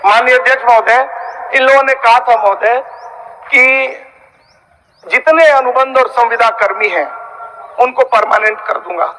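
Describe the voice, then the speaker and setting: A man's voice: very high-pitched, moderate pace, pauses often, bright, forceful tone. A man gives a fiery opposition speech in a legislature, combative and accusatory, repeating himself in rhetorical questions. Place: large legislative chamber. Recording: reverberant hall sound system.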